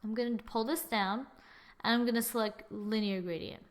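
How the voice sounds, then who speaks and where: A young woman talking through a software tutorial in a chatty, self-mocking way, thinking aloud as she clicks. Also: a little high, steady tone, normal speed. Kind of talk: screen-recorded software tutorial.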